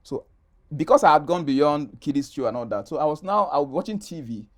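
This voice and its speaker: A man's voice: a little high, very animated, fairly quick. Nigerian man telling his story. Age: middle-aged. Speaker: interview guest, actor. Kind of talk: animated personal storytelling in conversation.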